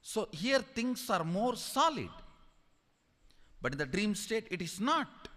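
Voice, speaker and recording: A man with an Indian accent delivers a spiritual sermon in a slightly high voice that swings widely in pitch. He is emphatic and instructing, repeating key phrases for his listeners. The sound rings with the long reverb of a large hall. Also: sing-song, slow, a bit bright. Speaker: spiritual teacher giving a satsang discourse. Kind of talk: sermon-like spiritual discourse to an audience.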